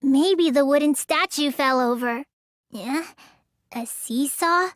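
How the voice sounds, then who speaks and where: A woman's voice: high voice, slow, no echo, clean studio sound. A woman voicing a petite, stubborn teenage girl magician in an English anime game, with a languid, childlike, slightly pouty delivery. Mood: puzzled, thoughtful.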